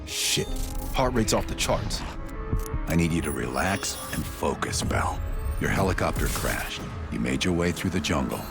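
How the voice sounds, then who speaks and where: A man's voice: extremely low pitch, animated, measured pace, creaky, heavily acted. A man playing a grim, controlling CIA interrogator and war veteran in a cinematic video game, commanding and insistent. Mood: alarmed. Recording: loud film score behind. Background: dramatic music.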